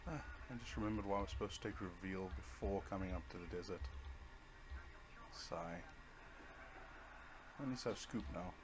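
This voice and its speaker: A man's voice: low-pitched, moderate pace, pauses often. A man giving casual, offhand commentary over his own video game playthrough, half talking to himself. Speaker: let's play host.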